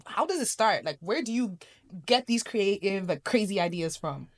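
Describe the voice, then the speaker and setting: Woman co-host, animated pitch, gushing and slangy. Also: moderate pace. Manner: enthusiastic, slangy, gushing questions. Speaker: podcast co-host. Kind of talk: casual podcast chat.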